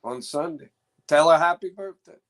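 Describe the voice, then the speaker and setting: A middle-aged man chats on a live stream, steering the talk with friendly follow-up questions. His voice sits slightly high for a man. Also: fairly even intonation, normal speed. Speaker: podcast co-host. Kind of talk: casual chat.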